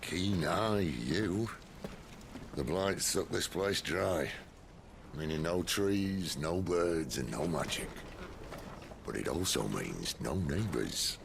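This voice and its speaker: Very deep, gravelly British rogue. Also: male voice, lively intonation, noisy background, heavily acted. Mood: matter-of-fact. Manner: wry, unhurried teasing. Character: roguish middle-aged male outlaw leader, wry and self-assured. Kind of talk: video game cutscene acting.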